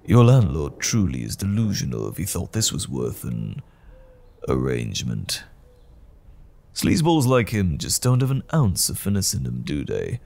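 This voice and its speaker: Casting: smug monstrous villain, extremely low growling voice, sleazy and taunting, wildly swooping delivery, for audio drama. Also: male voice, a little mellow, big-room sound, exaggerated voice. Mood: sardonic. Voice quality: gravelly, husky growl. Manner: taunting, smug, drawling insinuation. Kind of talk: audio roleplay drama acting.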